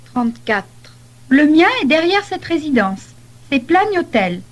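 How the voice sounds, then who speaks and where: A woman playing a polite young holidaymaker in a scripted language-lesson dialogue, speaking deliberately. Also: high voice, very animated. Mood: polite, helpful.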